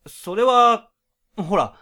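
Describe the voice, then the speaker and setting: A male actor as an exasperated captive in a comic radio play, quick with comebacks. His delivery swings widely in pitch, from deadpan muttered asides to loud, indignant retorts. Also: exaggerated voice. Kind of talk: comedic Japanese radio drama acting.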